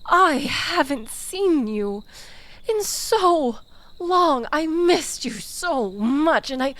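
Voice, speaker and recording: A woman playing a manipulative, tipsy, pleading ex-lover in an intimate audio roleplay, halting and dramatic with sighs and breathy drops toward a whisper. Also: sits high, lively intonation, a bit bright, full character voice. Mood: yearning, desperate.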